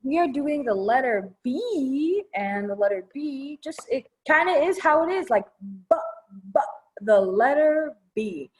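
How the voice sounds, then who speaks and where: Female children's storytime reader, highly expressive melodic delivery, unhurried pace, simple child-directed explanations. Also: low-quality recording. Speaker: children's librarian, storytime presenter. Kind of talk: children's storytime read-aloud.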